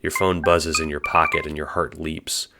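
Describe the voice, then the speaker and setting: A man's voice: rumbling low, little pitch movement, moderate pace, over loud music. A man narrating a scripted audio drama in the second person, in storytelling style.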